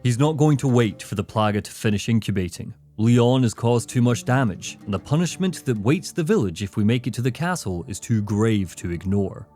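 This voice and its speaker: A man narrating a scripted video essay as its host, with dramatic, deliberate phrasing. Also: mid-range voice, animated, warm tone. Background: faint background music.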